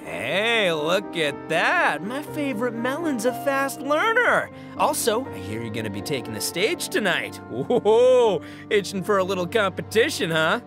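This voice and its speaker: An actor playing a brash, boastful young oni man in a video game, talking loudly and cockily. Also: high-pitched, big pitch swings, measured pace, hardly any pauses. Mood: boisterous. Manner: loud, cocky and boisterous. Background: game music.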